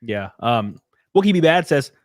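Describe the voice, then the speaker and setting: A man's voice: medium pitch, big pitch swings, normal speed, no echo, studio quality. A man hosting a casual podcast chat, rambling with lots of fillers. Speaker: streamer host.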